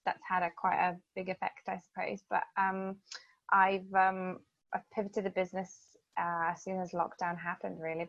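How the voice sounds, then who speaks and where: A woman from Lithuania with a Lithuanian accent and native language, answering interview questions hesitantly, with many filled pauses. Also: mid-range voice, fairly bright tone, no echo, highs cut off. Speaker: guest, events marketing business owner. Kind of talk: casual interview chat.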